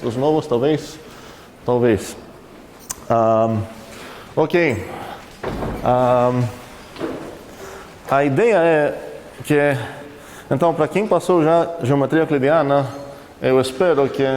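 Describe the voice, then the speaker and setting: A man's voice: medium pitch, darkish tone. A man speaks Portuguese with a foreign accent as he leads a university math class, tossing questions to students and correcting himself as he hunts for the right word. His voice carries the long reverb of a lecture hall. A faint hum sits underneath. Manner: conversational, asks the class questions, self-correcting.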